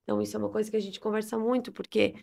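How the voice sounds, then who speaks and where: Female doctor guest, rapid, animated, vocal fry, warm conversational energy. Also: medium pitch, a little hiss or hum. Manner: animated, lively storytelling with acted quotes. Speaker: physician guest. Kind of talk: casual conversation.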